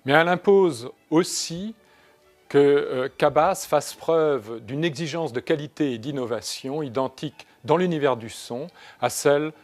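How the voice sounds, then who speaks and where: A man's voice: on the high side, normal speed. A man giving a corporate statement as a company executive.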